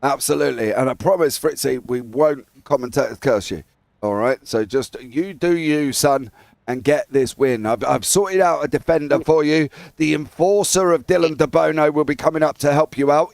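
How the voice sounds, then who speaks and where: A hoarse English man calls an online race with a gravelly, raspy edge to his voice. He sits a bit above the usual pitch for a man and gets loud and excitable when the lights go out. The sound is dry and close. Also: brisk, no echo. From England. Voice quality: raspy, husky voice. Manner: excitable, rising to shouts at race start. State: hoarse from broadcasting. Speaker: race commentator. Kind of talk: sim racing commentary.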